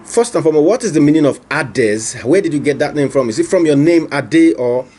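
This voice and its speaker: A man's voice: a little high, animated, a little fast, warm tone, slight background noise. A man interviewing a business owner, asking questions and often repeating them. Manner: probing, repeats his questions. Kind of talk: on-camera interview.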